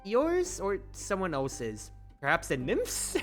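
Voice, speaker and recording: A performer voicing a curious, encouraging tavern keeper in a fantasy visual novel, gently probing. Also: mid-range voice, wildly swooping pitch, slow, faint music behind, pitched above the performer's own voice.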